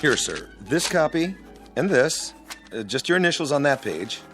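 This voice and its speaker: An actor playing a dutiful, efficient presidential aide in a radio drama. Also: male voice, mid-range voice, very animated, normal speed, lightly acted. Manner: deferential, efficient. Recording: radio drama with music beneath.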